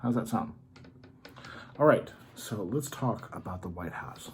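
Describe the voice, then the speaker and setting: A man with a deep, mellow voice hosts an informal educational story time. He explains things patiently and simply, as if to children. The home recording carries a faint layer of background noise. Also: animated, a little slow. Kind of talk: informal educational read-aloud and show-and-tell.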